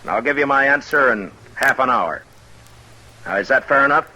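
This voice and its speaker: A man acting a stoic, world-weary US marshal in a 1950s radio western, speaking tersely and gravely. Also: mid-range voice, lively intonation, moderate pace, bright, forceful tone, poor audio. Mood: firm, commanding. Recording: old-time radio broadcast recording.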